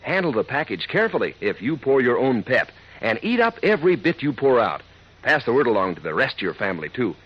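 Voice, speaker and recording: Chummy radio pitchman, animated husky voice. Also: a little fast. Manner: chummy, folksy, emphatic. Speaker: radio announcer and sponsor pitchman. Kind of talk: 1940s radio cereal advertisement. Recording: dull old radio recording.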